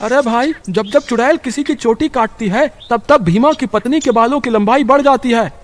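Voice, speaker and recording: A man voicing an alarmed, gossiping villager in an animated horror story. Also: extremely high pitch, moderate pace.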